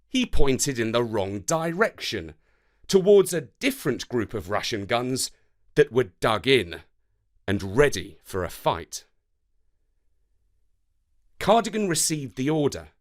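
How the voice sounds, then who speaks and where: A man narrating scripted history and verse for children in a measured, dramatic way. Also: medium pitch. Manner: measured, expressive, dramatic delivery. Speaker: storyteller narrator.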